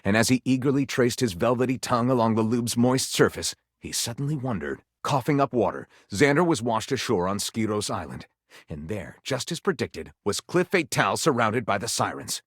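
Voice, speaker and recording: A man's voice: mid-range voice, animated, moderate pace, slightly rough, no room sound, studio quality. A man playing a theatrical, tongue-in-cheek narrator of pulpy detective tales in a video game, arch and savoring every dramatic turn.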